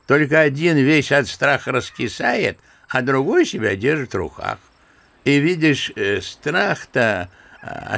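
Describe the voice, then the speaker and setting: An actor playing a genial elderly general in a radio play, sighing and reminiscing like an old-fashioned storyteller. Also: male voice, mid-range voice, creaky, fairly bright tone, heavily acted. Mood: frank, reflective.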